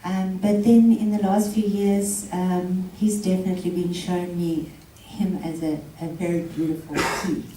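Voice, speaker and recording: A woman's voice: lowish voice, little pitch movement, moderate pace, hardly any pauses, reverberant, far from the mic, a little hiss or hum, poor audio. A woman from South Africa speaking informally to a live audience, with many ums and frequent laughter. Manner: soft-spoken, many ums, laughs often. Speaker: guest speaker. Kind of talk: informal talk before an audience. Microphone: handheld mic.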